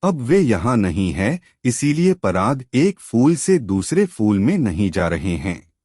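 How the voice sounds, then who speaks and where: A man's voice: fairly low pitch, very animated, a little slow, a little mellow, no room sound, studio quality. A man voicing a wise butterfly in a storybook tale, explaining things patiently.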